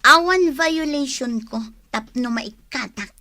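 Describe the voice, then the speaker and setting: A woman's voice: high-pitched, takes it slowly, pushed and bright, exaggerated voice. A woman playing a haughty, bossy, quarrelsome office worker in a radio drama, curt and confrontational. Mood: defiant. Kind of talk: Philippine radio drama acting.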